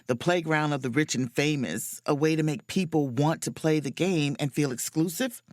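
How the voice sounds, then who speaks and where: A woman playing a wry, self-possessed spaceship officer, narrating her own adventure in an expressive, sardonic audio drama style. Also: deep voice, moderate pace, no echo, lightly acted. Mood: curious, scheming. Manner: dry, sardonic, expressive storytelling.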